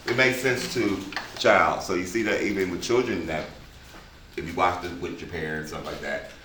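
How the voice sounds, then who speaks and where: A man talking in a casual group discussion, halting and reflective, repeating phrases for emphasis. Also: deep voice, fairly even intonation. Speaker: filmmaker leading a table read discussion. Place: indoor room at a table read. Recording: echoey room, distant mic, noisy background.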